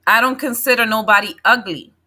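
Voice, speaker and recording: A woman's voice: fairly high pitch, brisk, sharp tone. A woman in her forties hosting a casual one-on-one interview in a blunt, assertive way. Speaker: interview show host.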